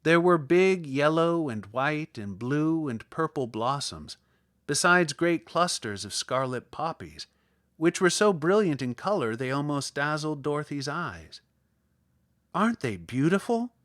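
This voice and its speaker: A man reading a children's story as an expressive audiobook narrator. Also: on the high side, measured pace.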